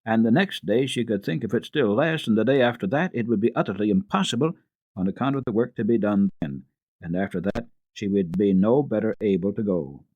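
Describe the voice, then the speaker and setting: A man narrating a children's classic as a radio storyteller, in a measured, expressive, formal reading. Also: fairly low pitch, no echo, low-quality recording.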